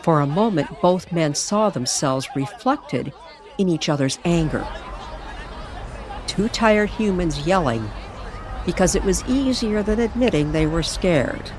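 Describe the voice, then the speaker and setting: A woman reading scripted dramatic story narration in a measured, deliberate way. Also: lowish voice, animated, a crowd in the background, background noise. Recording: music bed under the voice. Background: music.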